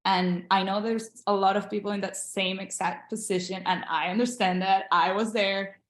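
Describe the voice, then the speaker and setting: A woman's voice: mid-range voice, fairly even intonation, moderate pace. Young woman from Venezuela, native Spanish speaker, earnest and encouraging, echoey room on a video call. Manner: earnest, encouraging, with frequent restarts. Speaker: guest, international medical graduate. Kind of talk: casual interview.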